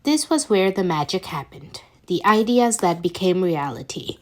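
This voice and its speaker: A woman reading fan fiction aloud as an amateur narrator, with a halting delivery that often stumbles and corrects itself. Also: on the low side, very animated. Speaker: podfic reader.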